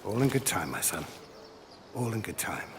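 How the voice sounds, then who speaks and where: An actor playing a proud, gentle middle-aged Roman father in a game cutscene, measured and solemn. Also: on the low side, very animated, in a large hall, noisy background. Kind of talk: dramatic video game cutscene acting.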